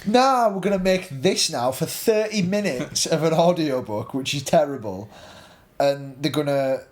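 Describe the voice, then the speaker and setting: A man's voice: fairly high pitch, animated, unhurried. A man co-hosting a casual panel discussion, exasperated and given to repeating himself. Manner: exasperated, repetitive. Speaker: podcast co-host.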